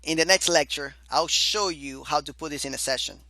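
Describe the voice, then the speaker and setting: Male tutorial instructor, slightly high, chatty, voice going, very dry close sound. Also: fairly quick, pushed and bright, no echo. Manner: chatty, repeats "all right" and "right?". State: losing his voice. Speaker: programming instructor. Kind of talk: screen-recorded coding tutorial.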